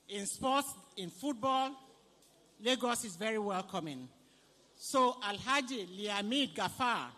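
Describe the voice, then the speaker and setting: A man's voice: high-pitched, sharp tone. A Nigerian man working as master of ceremonies at a live event, playfully repeating himself and calling out to guests in an echoey hall. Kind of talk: live hosting at a fundraising book launch. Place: large event hall, on a PA microphone. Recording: echoey hall public address.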